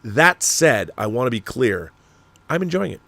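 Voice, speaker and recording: A man's voice: mid-range voice, sing-song, moderate pace, gravelly, fairly bright tone, no room sound. Emphatic, rambling man giving opinions, close dry sound. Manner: rambling, emphatic, repeats words, swears freely. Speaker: host of a film and TV commentary show. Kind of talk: casual opinionated commentary, answering viewer messages.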